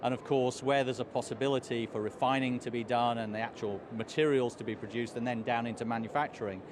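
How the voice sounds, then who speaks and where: A hoarse man, a trade commissioner, answering interview questions in a measured way with many fillers. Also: mid-range voice, steady tone. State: hoarse, losing his voice. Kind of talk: live outdoor interview answers. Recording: street noise behind.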